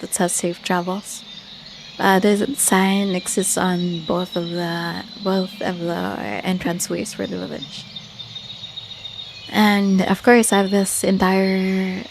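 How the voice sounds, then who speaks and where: A woman talks through a casual game tour in a fairly low voice with very little melody. She speaks slowly and hesitantly, searching for words as she goes. A faint noise with cricket-like sounds sits behind her. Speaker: gamer showing off own Minecraft village build. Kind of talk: casual, unscripted video game tour commentary.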